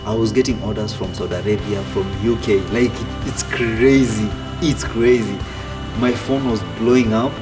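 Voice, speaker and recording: A man, telling his own story in a relaxed way with many fillers and restarts. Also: fairly low pitch, normal speed. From Kenya. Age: 30s. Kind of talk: casual personal storytelling. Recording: distant mic with music behind.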